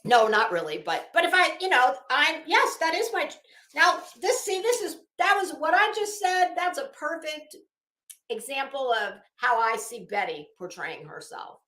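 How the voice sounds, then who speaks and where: An echoey room carries the bright voice of a woman hosting a commentary stream. Her pitch sits on the high side and swings widely. She reads aloud and comments with sarcasm, breaking off and restarting with emphasis. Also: lively intonation, normal speed. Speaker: host of a commentary livestream. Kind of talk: dramatic reading with sarcastic off-the-cuff commentary.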